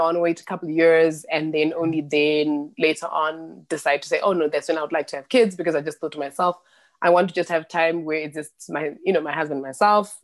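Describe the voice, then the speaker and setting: Guest: woman, late 30s, raised in Zimbabwe, lowish voice, thoughtful and meandering. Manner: thoughtful, rambling with restarts and laughs. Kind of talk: casual interview chat.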